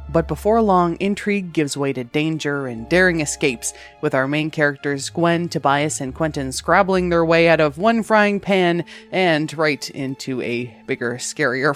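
Female podcast producer, scripted promo read, low voice, music bed underneath. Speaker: podcast producer introducing an episode. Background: background music.

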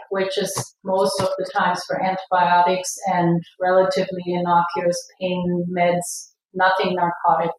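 A woman's voice: mid-range voice, a little hiss or hum. A middle-aged woman from Germany with a German accent, recounting her experience conversationally as an interview guest. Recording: echoey room, distant from the mic.

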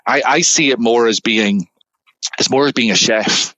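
A man's voice: mid-range voice, normal speed, bright, forceful tone, no room sound, rough recording. A middle-aged man from Scotland with a Scottish accent, a guest in a conversational interview, talking energetically and often repeating words as he starts sentences. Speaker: guest engineering executive.

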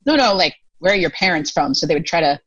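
A woman's voice: fairly low pitch, animated, fast talker, fairly bright tone, dry recording, lo-fi sound. An American woman in her thirties telling stories as a podcast guest, talking casually with lots of fillers and laughs. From United States. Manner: chatty, many fillers, laughs often. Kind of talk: casual storytelling interview.

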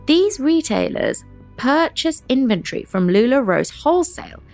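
A woman's voice: sits high, very animated, normal speed, creaky, a little mellow, faint music behind. A woman co-hosting a podcast, whose first language is Tamil, chatting casually and laughing often. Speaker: podcast co-host. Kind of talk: casual chat.

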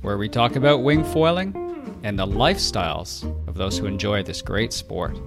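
A man hosting a podcast, chatty and enthusiastic, quick to agree with his guest. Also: on the low side, animated, creaky, over music. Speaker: podcast host. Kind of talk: casual podcast interview.

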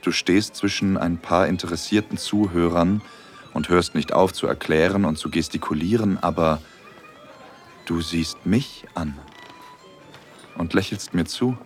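A young man in love, in a romantic audio drama. His voice is deep and low. He speaks tenderly and intimately, as a smitten, slightly nervous storyteller. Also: a little slow, faint music behind. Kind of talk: intimate first-person audio drama narration and dialogue.

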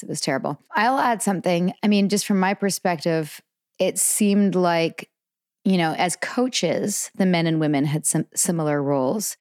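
A woman's voice: on the low side, darkish tone, no echo, studio quality. A woman co-hosting a podcast in casual conversation, reflective and hedging as she talks. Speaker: podcast co-host.

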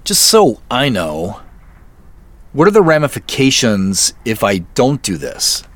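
Audio drama role: hesitant, awkward professional man, slow, halting delivery with expressive range. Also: mid-range voice, no room sound. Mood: polite, hesitant. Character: Joseph, hesitant, apologetic middle-aged professional man with dad jokes. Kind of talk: naturalistic audio drama acting.

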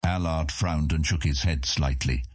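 A man's voice: very deep voice, fairly even intonation, measured pace, a little mellow, no room sound, studio quality. A man narrating a scripted fantasy audiobook in a dramatic storytelling style.

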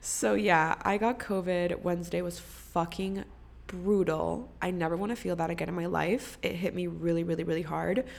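A woman's voice: lowish voice, fairly even intonation, normal speed, vocal fry. A young woman hosting a podcast, chatting casually and rambling, swearing freely, and sounding congested. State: congested, coughing. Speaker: podcast host. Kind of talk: casual chat.

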